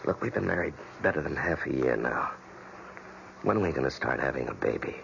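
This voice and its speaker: An actor playing an earnest, devoted, plainspoken husband with a gravelly voice and coaxing manner, in old-time radio drama style. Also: male voice, very deep voice, lively intonation, a bit bright, exaggerated voice. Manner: casual, halting, coaxing. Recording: muffled, noisy old radio recording.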